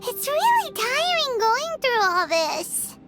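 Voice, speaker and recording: A woman voicing a tiny, childlike fairy companion in an anime game, with a squeaky, complaining delivery. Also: sing-song, exaggerated voice. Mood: weary, whiny. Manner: refers to self by name, drawn-out complaining. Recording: music behind the voice.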